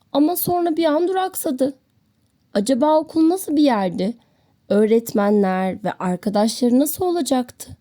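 High-pitched, animated woman storyteller. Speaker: children's story narrator. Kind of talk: expressive scripted children's storytelling.

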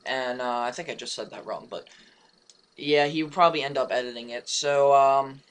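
Raspy, just-woken young man. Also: medium pitch, normal speed, fairly bright tone. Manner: rambling, many fillers and restarts, casual swearing. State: hoarse, just woke up. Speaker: gaming channel host. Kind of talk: casual channel update. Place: home room beside his gaming setup.

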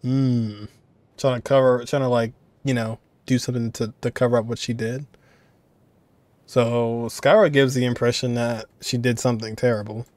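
A young man talks casually as a streamer reacting to a game. His pitch is mid-range and the sound is dry. He muses in halting phrases that often trail off. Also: steady tone, darkish tone, no room sound. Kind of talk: casual off-the-cuff commentary.

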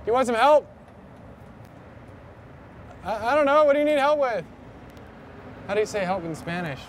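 A man's voice: very high voice, lively intonation, normal speed. A man in his twenties filming a vlog, joking and self-deprecating as he calls out to people on the street. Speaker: vlogger doing a public stunt. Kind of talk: casual self-filmed vlog. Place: indoors then on a busy city street. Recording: street noise behind the voice. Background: traffic and street noise.

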